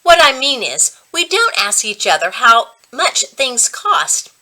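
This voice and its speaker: A woman's voice: on the high side, sing-song, measured pace, sharp tone, pitched above the performer's own voice, exaggerated voice, poor audio. A woman voicing a firm but kindly female fourth-grade teacher in a children's book read-aloud. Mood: firm, correcting. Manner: firm, instructive.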